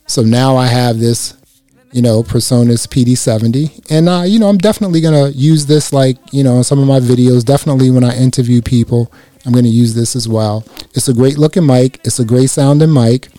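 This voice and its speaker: A man with a slightly raspy voice reviewing a microphone in a casual, chatty way, speaking close into a dynamic mic. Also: medium pitch, a little fast, dry recording. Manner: relaxed, chatty, many "you know" fillers. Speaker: gear reviewer. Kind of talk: casual product review to camera. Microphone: close dynamic microphone through a processor.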